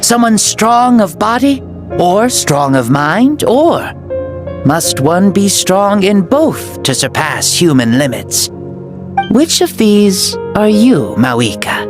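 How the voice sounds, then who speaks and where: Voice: mid-range voice, very animated, slow. An actor voicing an ancient, proud male warrior hero who speaks grandly through a boy's body in a video game. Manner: grandiose, declamatory. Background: game music.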